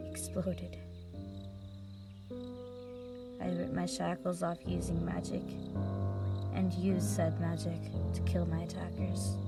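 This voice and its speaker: A woman playing a traumatized, vengeful mage in a dramatic audio roleplay monologue, speaking with emotion in deliberate, halting phrases. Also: on the low side. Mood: grim, haunted. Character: traumatized, vengeful female mage recounting her past. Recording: music bed behind the voice.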